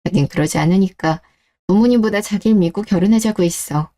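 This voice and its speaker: A self-assured young bride-to-be played by a woman speaks with a mellow tone at a middling pitch. She is composed and direct, delivering radio-drama lines in a matter-of-fact way. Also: fairly quick, natural voice. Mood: earnest, firm. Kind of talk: scripted story-radio drama.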